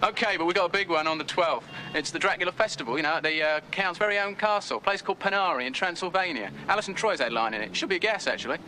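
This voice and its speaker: A wisecracking Cockney band boss, quick and fairly high, noisy track. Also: male voice, expressive, pushed and bright. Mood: cheeky. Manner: cheeky and jokey. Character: cheeky Cockney male band manager, wisecracking. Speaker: touring band leader. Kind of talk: 1970s TV drama acting.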